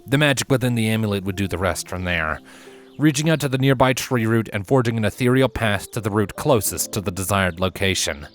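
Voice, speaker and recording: Guy reading a fantasy story, kinda deep, expressive n dramatic, bit of music behind him. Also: brisk. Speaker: story narrator. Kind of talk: scripted fantasy storybook narration.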